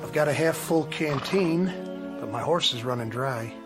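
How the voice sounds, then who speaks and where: An actor playing a courteous, resigned Union lieutenant in a radio drama, with a polite, unhurried, stoic delivery. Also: male voice, medium pitch, close to an everyday voice. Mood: calm, courteous. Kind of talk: dramatic radio play acting. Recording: studio radio drama with music underneath.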